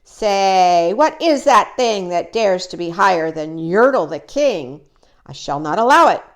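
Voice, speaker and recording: A pompous turtle king, as a woman puts him on in a storybook reading, barking orders loudly and boasting. His voice swoops up and down with lively pitch, and the words come out slow and weighty. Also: natural voice. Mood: boastful. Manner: loud, commanding, boastful. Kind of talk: storybook read-aloud.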